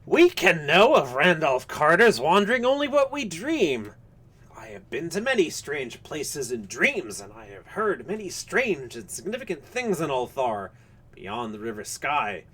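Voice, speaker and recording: A harsh old man's voice, pitched up shrill by the man who acts him out, tells of dreams. He speaks in solemn, ornate storybook phrases. He is an earnest, otherworldly old mystic. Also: big pitch swings, a little slow, fairly bright tone, slightly rough, full character voice. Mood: earnest, dreamy.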